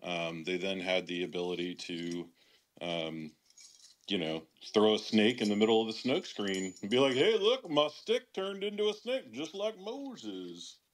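A man's voice: on the low side, big pitch swings, a little slow. A man with a Chicago accent, talking bluntly and dismissively in a casual podcast discussion. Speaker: show regular.

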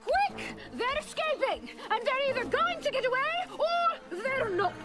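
A woman voicing a lovestruck, possessive female monster in an animated film, with a melodramatic, frantic manner. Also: very high voice, a little slow, over loud music, heavily acted.

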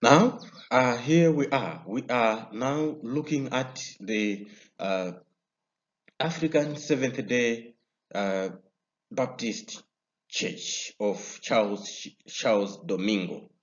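A man with a Malawian English accent teaching a recorded history lesson over slides. He explains step by step and often pauses on "uh". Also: medium pitch. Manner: explanatory, many "uh" fillers and restarts. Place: indoor room. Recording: slightly dull lo-fi sound.

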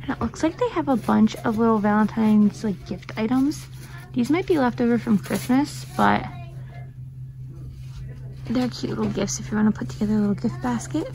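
A woman's voice: on the high side, moderate pace, gravelly, warm tone. A woman in her thirties filming herself in a shop and chatting casually about the cute items she finds. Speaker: vlog host. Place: inside a shop. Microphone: handheld phone camera. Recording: noisy shop background.

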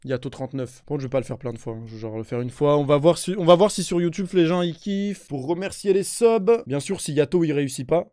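A young man streaming a shooter game on a headset mic, chatting excitably with his viewers and swearing often. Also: a little high, quick pace, few pauses, no room sound, studio quality. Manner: excitable, swears a lot, talks to chat. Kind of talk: live gaming stream commentary. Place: indoor room with a gaming chair.

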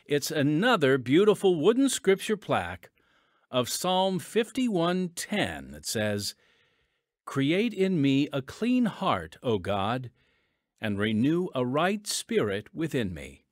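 A man's voice: fairly high pitch, very animated, slow, no room sound, clean studio sound. A man hosting a podcast, reading scripted promotional narration and addressing listeners in a folksy way. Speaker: podcast host and announcer.

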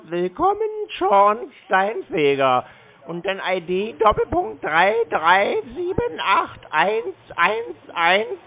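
A slow, gravelly voice on a muffled phone call. The speaker sounds naive and confused, repeating things literally, and the pitch wanders widely as they plod along. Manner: confused, literal, plodding, repetitive. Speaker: prank callee stringing along a scam caller.

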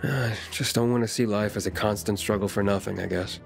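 A man's voice: fairly low pitch, fairly even intonation, a little fast, creaky, soft background music. An actor playing an earnest, plain-spoken male survivor in a video game, talking in a casual, laconic way. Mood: earnest, guarded. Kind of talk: video game cutscene acting.

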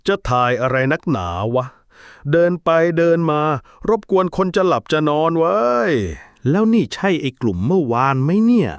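A man's voice: on the high side, dry recording. Cartoon casting: a man doing a cranky old uncle with a raised, swooping voice, close dry mic. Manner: gruff, scolding, easily bribed. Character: grumpy old uncle hired to fake a ghost. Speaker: cartoon voice actor.

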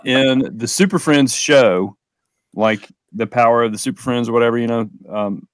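A man in his forties chatting casually and haltingly about collecting, laid-back, close to a desk microphone. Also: medium pitch, no echo. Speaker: toy collector on a panel show. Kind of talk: casual chat. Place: small room full of shelves and boxes, indoors. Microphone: close desk microphone on video call.

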